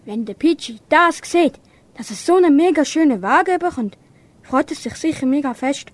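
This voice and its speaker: A brave, helpful dog acted by a young girl in a school radio play. The voice is high and eager, recorded dry. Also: animated, normal speed, no echo. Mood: eager, planning. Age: young teenager. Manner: eager, barks out woofs. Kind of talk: amateur radio play acting.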